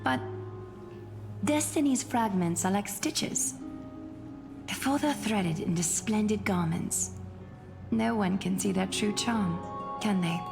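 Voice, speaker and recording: A woman's voice: mid-range voice, vocal fry. An actress playing a grave, poised woman in a fantasy game, speaking formally and poetically, with wistful elegance. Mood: solemn, wistful. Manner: measured, formal, poetic delivery. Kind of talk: scripted game voice acting. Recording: long reverb, music behind. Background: game music.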